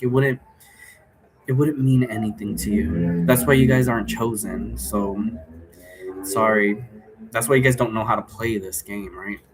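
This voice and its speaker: A man giving a casual, unscripted explanation as a presenter, rambling loosely from one aside to the next. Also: on the low side. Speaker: presenter explaining an occult role-play game. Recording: clearly noisy room recording.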